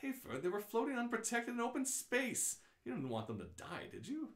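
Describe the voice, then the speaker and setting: A man's voice: extremely high pitch, huge pitch swings, a little fast, pitched above the performer's own voice, heavily acted. Patient, practical woman aboard a spaceship, read by a man, placating tone, radio play in an echoey room. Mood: reasonable. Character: calm, reassuring female space traveller, patient and practical.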